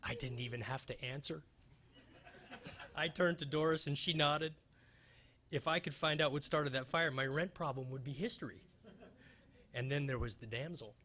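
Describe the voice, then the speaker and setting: Bright, forceful male radio-spoof detective, acted by a man, wisecracking and hapless, wry hard-boiled delivery. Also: on the high side, normal speed, long pauses, a little hiss or hum. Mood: wry narration. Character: wisecracking, hapless male private fire investigator narrating a noir spoof. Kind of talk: old-time radio detective spoof, staged reading.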